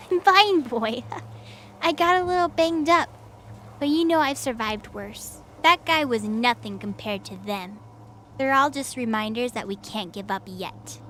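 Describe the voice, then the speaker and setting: A woman's voice: on the high side, a little slow, a bit bright, slight background noise. An actress playing a brash, defiant female fighter in an audio drama, blunt and cocky in manner. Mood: defiant, reassuring.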